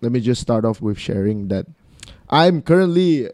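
Hoarse, chatty Malaysian man in his twenties. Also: mid-range voice, animated, moderate pace, a little mellow, dry recording. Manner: chatty, laughs easily. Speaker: guest. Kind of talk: casual podcast chat.

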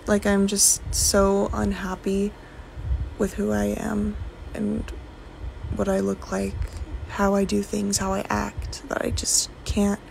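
A seventeen-year-old girl talks slowly into her phone, her voice heavy with vocal fry. She sounds subdued and hesitant, with little rise and fall, and faint noise sits behind her. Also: medium pitch, completely flat delivery, darkish tone, poor audio. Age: teenager. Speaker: listener sending a voice memo. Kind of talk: casual voice memo.

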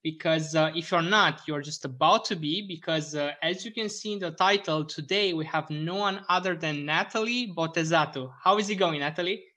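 A man's voice: a little high, moderate pace, few pauses, sharp tone. A man hosting a podcast, upbeat with lots of fillers. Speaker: podcast host. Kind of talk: podcast intro and interview.

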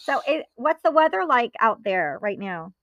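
A woman's voice: fairly high pitch, very animated, moderate pace, a bit bright, no echo, dull audio. A woman hosting an interview over a remote call, bubbly and chatty, laughing often and using lots of fillers. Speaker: host. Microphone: remote call from home.

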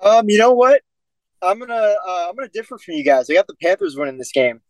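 A man's voice: high voice, fast talker, darkish tone, no room sound, rough recording. A man co-hosting a casual sports talk show, laid-back and hedging as he makes his pick. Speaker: podcast co-host.

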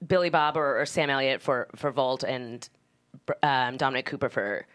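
Low-voiced woman, informal and halting. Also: a bit bright. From Canada. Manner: informal, halting, thinking aloud. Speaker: screenwriter, guest. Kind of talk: casual panel interview.

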